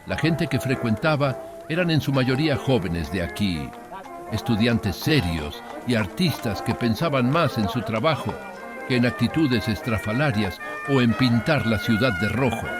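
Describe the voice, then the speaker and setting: A man's voice: medium pitch, natural voice. A man voicing a proud, lonely old planter who recounts his family past in a wistful, dignified audiobook monologue. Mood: regretful.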